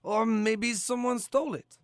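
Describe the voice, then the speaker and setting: An actor playing a sleazy, cocky slacker of a security guard, talking flippantly in an audio drama. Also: male voice, very high-pitched, a little slow, no echo, clean studio sound. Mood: suspicious.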